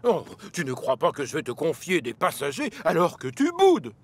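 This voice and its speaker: A man's voice: fairly high pitch, huge pitch swings, normal speed, breathy edge, full character voice. A man playing a blustering, scolding railway boss in a French cartoon dub, stern, fussy and exasperated.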